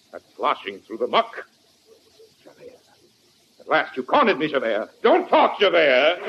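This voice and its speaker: A man's voice: high voice, slight background noise, voice pushed up. A man playing a hunted convict hero in mock-melodrama, grand and tragic, declaiming with French phrases on a muffled old radio broadcast.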